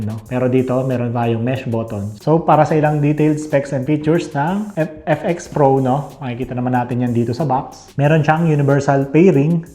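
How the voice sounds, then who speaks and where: A man's voice: mid-range voice, dark tone. A man with a Filipino accent giving a casual, conversational product review as a channel host, often tagging his phrases with little check-in words. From Philippines. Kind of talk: casual product review and unboxing, mixing Tagalog and English.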